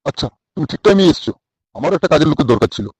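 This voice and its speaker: A man's voice: fairly high pitch, very animated, racing, darkish tone, slightly rough, dry recording. A man voicing a genial, obliging boss in a comic cartoon, oily and repetitive.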